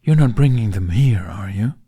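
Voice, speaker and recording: A man performs a storybook dragon who is wary and dryly literal. The words come slowly and deliberately, as from a creature puzzling over human talk. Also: on the low side, animated, mellow, breathy edge. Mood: alarmed. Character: Toothless, wary slow-talking dragon companion, literal and dry.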